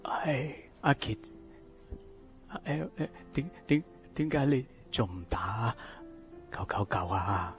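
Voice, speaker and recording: A coarse old hong kong father in audio drama, halting n slow, groany, music behind. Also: mid-range voice, lively intonation. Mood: hurt, accusing. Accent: Hong Kong Cantonese. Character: grasping, abusive old father, pleading when hurt. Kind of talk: radio drama acting.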